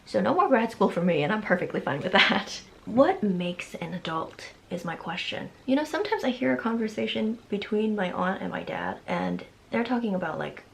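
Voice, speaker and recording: A woman's voice: mid-range voice, animated, moderate pace, gravelly, few pauses, fairly bright tone. A woman in her early twenties talking anxiously in a casual, rambling vlog, often laughing as she speaks. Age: 20s. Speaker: vlogger.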